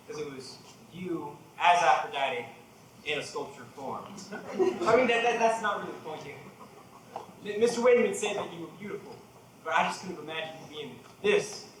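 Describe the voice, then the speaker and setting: A man's voice: a little high, lightly acted. A man playing an earnest, lovestruck Southern preacher in a stage drama, rambling and flustered, with a Southern US accent. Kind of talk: theatrical stage acting. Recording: echoey room, distant mic, noisy.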